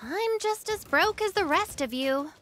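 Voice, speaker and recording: A woman's voice: very high-pitched, animated, a little slow, a bit bright, soft background music, exaggerated voice. A woman playing a confident young female mechanic in a video game, matter-of-fact and dryly humorous. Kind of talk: video game cutscene acting.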